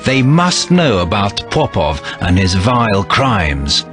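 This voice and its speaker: A resolute, noble soldier in a dramatic game cutscene. His delivery swings expressively in pitch, and music plays clearly behind him. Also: very animated, takes it slowly. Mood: earnest, resolute. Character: noble, resolute soldier brother, sworn secret agent.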